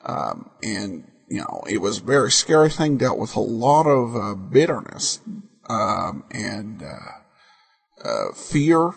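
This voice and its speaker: A man hosting a podcast, giving unscripted commentary in halting, hesitant phrases. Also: fairly low pitch, big pitch swings, vocal fry, breathy edge. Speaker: podcast host. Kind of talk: unscripted commentary on old radio shows.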